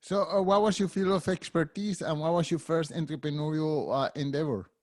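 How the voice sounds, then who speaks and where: A man's voice: fairly high pitch, a little fast. A man hosting an interview, asking brief, direct questions. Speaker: podcast host.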